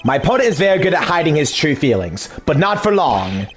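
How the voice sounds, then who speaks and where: A man voicing a composed, formal male prosecutor who questions a suspect in precise, measured phrases. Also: a little high, very animated, soft background music, pitched below the performer's own voice. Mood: probing. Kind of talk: fan dub of a video game.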